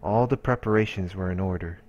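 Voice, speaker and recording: Deep-voiced man reading a story. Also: brisk, darkish tone, dull audio. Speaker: let's play narrator reading a visual novel aloud. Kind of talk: reading game narration aloud.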